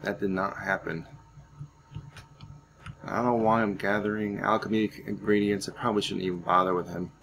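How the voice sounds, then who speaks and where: A man's voice: on the low side, level tone, normal speed, gravelly, long pauses, fairly bright tone. A man giving casual gameplay commentary, rambling and thinking aloud as he goes. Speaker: gaming channel host. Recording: faint background noise.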